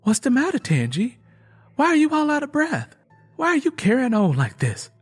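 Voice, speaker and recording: Anxious young woman raised well above the reader's own pitch, as a male narrator puts her on, her voice swinging widely and sounding a little husky over faint background music. She presses with worried, caring questions in a storybook reading. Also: huge pitch swings, normal speed, warm tone, no echo, exaggerated voice. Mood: alarmed.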